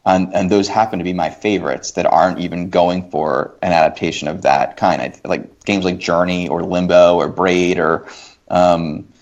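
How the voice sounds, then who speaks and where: A man in a casual, opinionated discussion, thinking aloud in a halting but emphatic way and rephrasing as he goes. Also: deep voice, steady tone. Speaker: podcast guest. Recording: dull lo-fi podcast audio.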